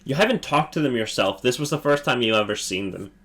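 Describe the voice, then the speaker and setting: A male game master narrating a tabletop scene, halting and restarting as he goes. His voice has an ordinary middle pitch and a casual, unscripted delivery. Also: animated, a bit bright. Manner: halting, full of fillers and restarts.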